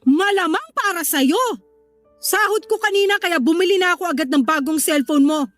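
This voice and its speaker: A woman's voice: extremely high pitch, moderate pace, fairly bright tone, dry recording, soft background music. A woman playing a bossy, hot-tempered but caring older sister in a Filipino radio drama, scolding bluntly. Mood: gruffly caring.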